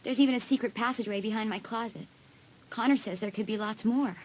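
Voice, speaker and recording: An actress playing a troubled, uneasy teenage schoolgirl with a husky voice in an audio drama. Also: fairly high pitch, quick pace, darkish tone, slight background noise, lightly acted, rough recording.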